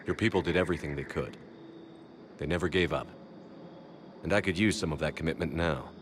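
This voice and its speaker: A man's voice: very deep voice, fairly quick, background noise. An actor playing a resolute male military commander in a video game, firm, direct and composed. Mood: composed, determined. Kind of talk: video game drama acting.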